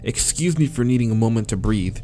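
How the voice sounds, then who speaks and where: A man's voice: medium pitch, animated, brisk, warm tone, soft background music, lightly acted. A man voicing an exhausted visual novel protagonist who complains and protests.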